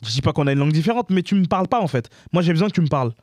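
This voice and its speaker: A man's voice: a little high, expressive, very fast, darkish tone, no echo. A man in his thirties with a Parisian suburban French accent, talking casually and emphatically as an interview guest, slangy and repeating himself. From France, Paris suburb of Montreuil. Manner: emphatic, repetitive, colloquial. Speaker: interview guest, comedy creator.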